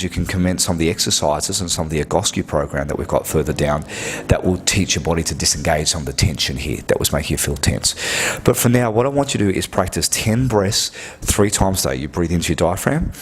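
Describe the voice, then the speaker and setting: A man's voice: low-pitched, slight background noise. A man who works at a health clinic gives an earnest, direct breathing lesson, coaching step by step and often repeating himself. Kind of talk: instructional talk to camera.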